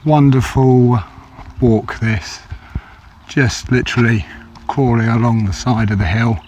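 A man with a slightly croaky voice, chatting casually to a handheld camera while walking a grassy hillside, with plenty of ums and hesitations. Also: mid-range voice, fairly even intonation, measured pace, a little mellow, slight background noise. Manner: rambling, hesitant with many fillers. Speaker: walking vlogger. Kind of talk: casual hiking commentary to camera. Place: outdoors on open grassy hillside. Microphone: handheld camera held by the walker.

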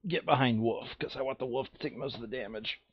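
A man's voice: mid-range voice, fast talker, breathy edge, no room sound, muffled recording. A man playing a board game over voice chat, thinking aloud casually and muttering counts and rules to himself.

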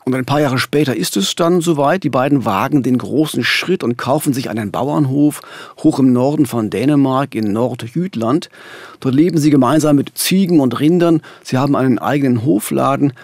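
A man's voice: medium pitch, normal speed, darkish tone, no echo. A man co-hosting a true-crime podcast, telling the story at a close studio microphone. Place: small radio studio.

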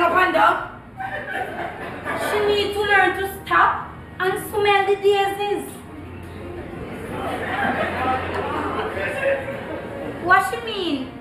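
Casting: blunt, inquisitive young Jamaican maid, very high voice, echoey noisy theatre recording. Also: female voice, measured pace, music in the background. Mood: nosy. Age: young adult. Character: nosy, superstitious, outspoken young Jamaican housemaid. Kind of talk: comedic stage play acting in patois. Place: theatre stage before an audience.

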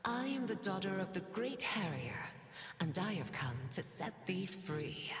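An actress playing a seductive, cruel demon queen in a video game, with breathy whispers, a menacing purr and theatrical archaic lines. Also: medium pitch, big pitch swings, measured pace. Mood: grand, sultry. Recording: reverberant game audio with music.

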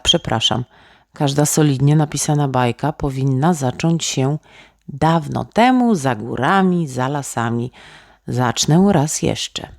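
Woman, children's storyteller, gentle expressive narration, husky, very close dry sound. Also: low-pitched, dry recording. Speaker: storyteller, author reading own tale.

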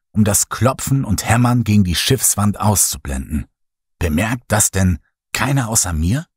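Low husky male horror narrator. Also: no room sound, clean studio sound. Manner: slow, hushed, brooding delivery. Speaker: audiobook narrator. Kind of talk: first-person horror story reading.

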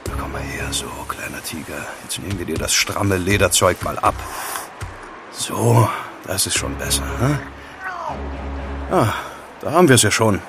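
A man's voice: sits low, wildly swooping pitch, unhurried, creaky, over music, full character voice. An actor playing a cheeky young male student who investigates in an audio drama. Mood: wry, soothing. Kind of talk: German radio play acting.

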